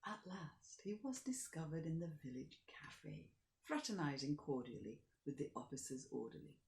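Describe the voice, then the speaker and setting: A woman actor reading a classic short story aloud in measured narration, often sinking to a soft near-whisper. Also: low voice, sing-song, creaky, roomy sound. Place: home during lockdown.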